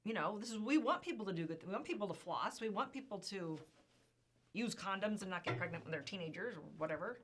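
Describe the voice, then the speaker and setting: A woman co-hosting an insurance news show, talking in a chatty, emphatic way with a slightly hoarse voice. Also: medium pitch, animated, fast, background noise. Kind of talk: casual chat.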